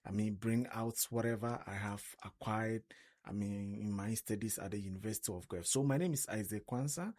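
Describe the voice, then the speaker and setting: A man's voice: lowish voice, fairly quick, a little mellow. A young man with a Ghanaian accent, talking earnestly on a radio show and often restarting with "I mean". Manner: earnest, repeats words and uses many "I mean" fillers. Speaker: co-host. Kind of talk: casual radio interview.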